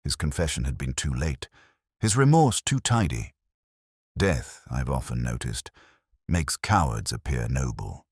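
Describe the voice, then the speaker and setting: A man playing a melancholy, reflective police detective, narrating an audio drama in a measured, literary manner. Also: rumbling low, big pitch swings, husky, no echo, clean studio sound, heavily acted. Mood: regretful. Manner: measured, literary, brooding delivery. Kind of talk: scripted first-person audio drama narration.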